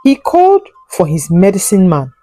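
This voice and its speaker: A woman narrating a scripted folk tale in a solemn, deliberate and dramatic storytelling manner. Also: mid-range voice, wildly swooping pitch, mellow, no echo, soft background music.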